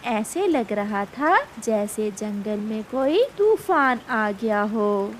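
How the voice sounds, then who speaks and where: A woman narrates a children's cartoon fable in a fairly high voice. Her reading is slow and very expressive in pitch, with faint noise behind it. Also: rough recording. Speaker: storyteller.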